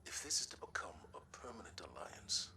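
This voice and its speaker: A man playing a weary, measured military commander in a video game, breathy, grave and diplomatic. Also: low voice, sing-song, sharp tone, in a whisper, exaggerated voice. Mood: measured, probing. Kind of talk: dramatic video game acting.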